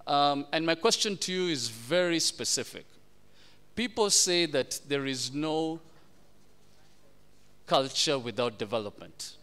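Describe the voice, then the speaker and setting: A man moderating a formal panel discussion, posing measured questions and slipping into Swahili. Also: on the high side, expressive, pushed and bright, smooth.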